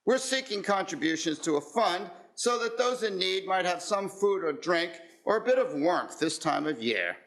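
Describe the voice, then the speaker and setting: Male actor as earnest, well-mannered gentleman seeking donations, radio drama, high-pitched, forceful bright tone. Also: expressive, normal speed, hardly any pauses, poor audio. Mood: courteous, hopeful. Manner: courteous, formal, earnest. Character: polite, earnest gentleman collecting for church charity. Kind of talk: community theatre radio play acting.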